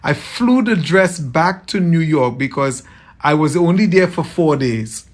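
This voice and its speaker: A man from Trinidad with a softened Trinidadian accent, chatting casually with viewers on a livestream as a tailor, friendly and often repeating himself. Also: a little high, expressive, unhurried. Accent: Trinidadian, softened toward American. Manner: friendly, repeats phrases, greets viewers by name.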